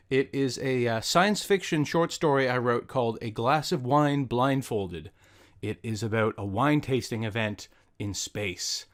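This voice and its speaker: A male host speaks in a middle register, in a casual outro style. He sounds informal and a little hesitant, with a modest, self-deprecating touch. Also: medium pitch. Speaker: host of a reading show. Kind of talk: casual scripted outro, chatty.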